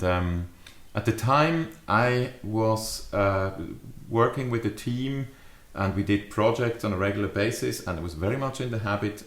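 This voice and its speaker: A man in his fifties giving a reflective, explanatory talk to camera as a leadership adviser, with measured, deliberate phrasing. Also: lowish voice. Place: small furnished study or living room, indoors. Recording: echoey living room.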